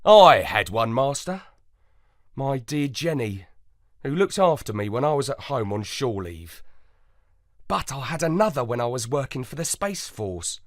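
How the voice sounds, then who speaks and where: A man's voice: mid-range voice, big pitch swings, measured pace, no echo, studio quality. A man playing an earnest, loyal space dog, narrating a scripted children's story expressively. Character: earnest, loyal male space dog telling his adventures.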